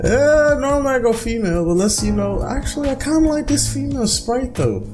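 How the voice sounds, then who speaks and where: A man's voice: very high voice, very animated, fairly quick. A man hosting a casual let's play, reading visual novel text aloud and talking in an informal, slangy way. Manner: informal, slangy asides between read-out passages. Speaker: gaming video host. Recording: music playing behind the voice. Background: music.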